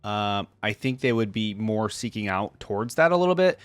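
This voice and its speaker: A man's voice: lowish voice, animated, moderate pace, clean tone, no echo. A man hosting a reaction video, giving casual, chatty, easily amused commentary on game voice lines.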